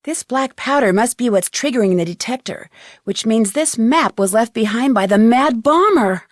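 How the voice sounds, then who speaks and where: A woman's voice: fairly high pitch, lively intonation, normal speed, no room sound, studio quality, close to an everyday voice. A woman playing a curious, plucky young amateur detective in a video game, articulate and inquisitive as she thinks things through. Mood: focused, musing. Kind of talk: scripted video game voice acting.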